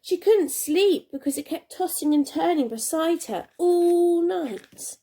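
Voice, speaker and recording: A woman reading a children's storybook aloud like a primary teacher, expressively, with dramatic pauses and emphasis. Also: very high-pitched, expressive, dark tone.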